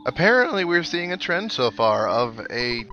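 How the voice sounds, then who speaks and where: A man's voice: on the high side, sing-song, normal speed, bright, forceful tone, lo-fi sound, a little hiss or hum. A man hosting a casual let's play, chatting to viewers and thinking aloud with some wry joking. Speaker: gaming video host. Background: video game music.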